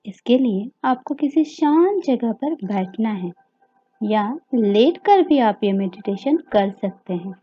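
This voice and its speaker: A woman with an Indian accent narrating a guided meditation story for children, gently and with deliberate pauses, over music. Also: fairly high pitch, lively intonation. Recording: dull lo-fi audio with music behind.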